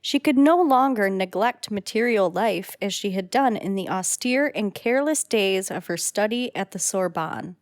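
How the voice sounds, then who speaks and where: Mid-pitched woman narrating a radio play. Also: animated, normal speed, few pauses. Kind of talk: scripted radio drama narration.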